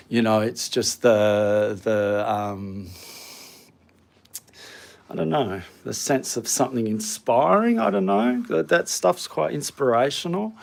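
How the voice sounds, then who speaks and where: A man's voice: on the low side, gravelly. A man chatting casually and a bit hesitantly while showing viewers around his home. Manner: rambling, hesitant, self-deprecating. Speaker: vlogger. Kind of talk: casual home tour chat. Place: home hallway and studio room.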